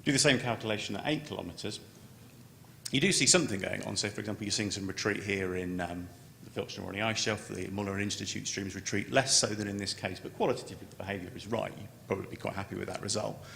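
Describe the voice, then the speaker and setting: A man giving an unscripted technical conference presentation as a scientist, halting and often repeating words as he starts a phrase. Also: steady tone, creaky, sharp tone. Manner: halting, with stammered word repetitions. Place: large conference room. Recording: echoey hall, noticeable background noise, low rumble.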